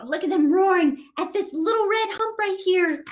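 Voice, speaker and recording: A woman's voice: extremely high pitch, normal speed, a little hiss or hum. A woman reading a picture book aloud to children expressively, with chatty asides to young listeners. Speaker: storytime host reading to children.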